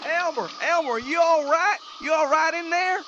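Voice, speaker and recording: Sulky, hot-headed rural Southern bumpkin, extremely high-pitched, loud and sarcastic, noisy radio comedy recording. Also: male voice, moderate pace, muffled recording. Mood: alarmed. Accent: rural American South. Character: hot-tempered, aggrieved country bumpkin man. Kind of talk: comic radio drama acting.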